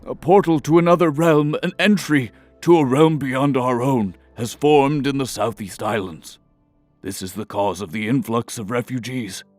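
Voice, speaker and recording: Archmage male actor for fantasy audio drama: mid-pitched, deferential, grave, hesitant, faint music underneath. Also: close to an everyday voice. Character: earnest male archmage, deferential royal adviser.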